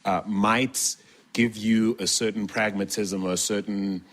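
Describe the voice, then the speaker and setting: A man with a low-pitched voice speaks as an interview host. His delivery is conversational and measured, with long, wordy questions built up phrase by phrase. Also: steady tone, a bit bright.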